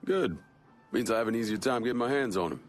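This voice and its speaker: An actor playing a ruthless, commanding crime boss in a video game, terse and menacing. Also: male voice, medium pitch, animated, quick pace, soft background music, close to an everyday voice. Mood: grim, commanding. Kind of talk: video game cutscene acting.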